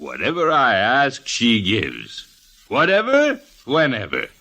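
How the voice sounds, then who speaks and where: Swaggering blackmailer, slow and forceful, pitch all over the place, bossy old radio drama. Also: male voice, wildly swooping pitch, full character voice. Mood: sly, boastful. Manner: theatrical, expansive, imperious. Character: boastful, swaggering male Russian blackmailer at an inn. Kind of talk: old-time radio comedy-drama acting.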